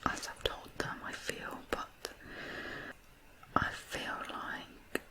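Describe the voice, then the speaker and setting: A soft whisper with no voice in it, delivered very slowly. The host narrates true crime in ASMR style, reflective and a little hesitant as the thoughts come.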